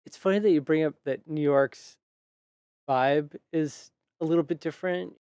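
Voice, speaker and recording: A man hosting a casual interview, relaxed and encouraging, with short affirmations. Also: on the high side, moderate pace, clean tone, no echo, clean studio sound. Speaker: interview host.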